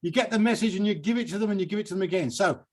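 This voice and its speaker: A man's voice: sits high, rapid-fire. A British man hosting a poetry reading in an effusive, digressive, conversational way. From United Kingdom. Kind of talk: live event hosting, conversational interviewing.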